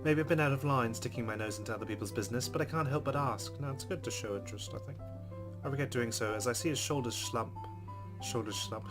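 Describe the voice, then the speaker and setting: Guy doing a let's play, reading visual novel narration out loud, expressive, music playing behind him. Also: medium pitch, fast talker.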